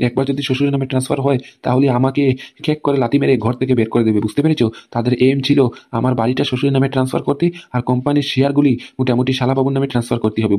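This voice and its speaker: An aggrieved husband and boss from a comic cartoon , acted by a man, explains himself in a mellow voice at breakneck speed. He is emphatic and scheming, forever checking that he is being understood. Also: mid-range voice, natural voice.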